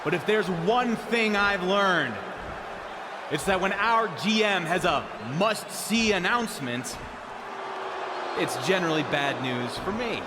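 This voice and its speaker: Slow, shouting wrestling champion. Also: male voice, high voice, expressive. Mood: defiant. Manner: slow, emphatic, loud. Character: defiant newcomer male wrestling champion challenging a boss. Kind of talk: in-ring microphone promo. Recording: loud arena crowd and noise.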